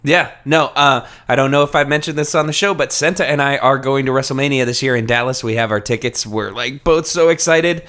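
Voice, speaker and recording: A man's voice: mid-range voice, a little fast, hardly any pauses, pushed and bright. A man hosting a podcast, chatting casually in an informal, enthusiastic way with some swearing. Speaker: podcast host.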